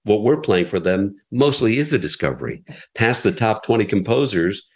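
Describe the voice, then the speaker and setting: A middle-aged man, a radio station executive, giving measured, explanatory interview answers with frequent restarts. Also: on the low side, expressive. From United States. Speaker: radio station president, guest.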